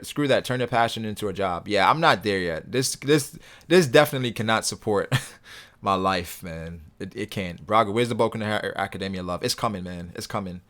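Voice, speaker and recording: A young man hosting a casual livestream, reading viewer comments aloud and answering them in a relaxed, rambling way. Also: lowish voice. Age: young adult. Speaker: livestream host reading chat.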